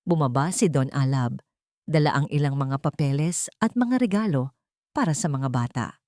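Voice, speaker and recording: A woman reads a dramatic audio story in a steady, even storytelling manner. Her voice sits low for a woman. The sound is dry and close, with no room around it. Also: moderate pace, no room sound. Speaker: narrator. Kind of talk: scripted audio story narration.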